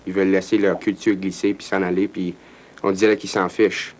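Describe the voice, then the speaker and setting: A man answering in an interview, earnest and halting with frequent restarts. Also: deep voice, level tone. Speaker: francophone interviewee.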